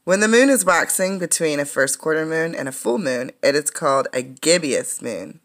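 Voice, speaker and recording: A woman with a low-set, noticeably creaky voice reads a children's science book aloud. She sounds like a teacher, explaining as she goes and pausing to comment or pose questions. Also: animated.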